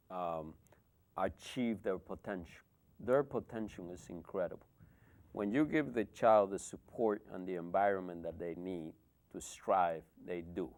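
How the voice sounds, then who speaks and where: A man's voice: low-pitched, smooth. A middle-aged man from the Republic, an education official speaking earnestly as a talk show guest. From Dominican Republic. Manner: earnest, warm-hearted explanations with fillers. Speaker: school superintendent. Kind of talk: interview guest.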